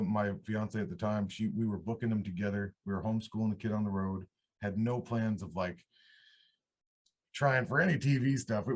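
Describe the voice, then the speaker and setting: A man in his thirties from upstate New York, a country singer telling his story in a casual, rambling interview. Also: on the low side. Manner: rambling, relaxed, restarts mid-sentence. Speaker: country singer-songwriter guest.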